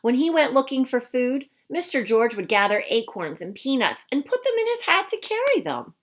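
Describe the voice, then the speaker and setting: A woman reading a picture book aloud to children in an expressive, teacherly way, explaining things simply. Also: high voice, moderate pace. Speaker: storybook reader for children.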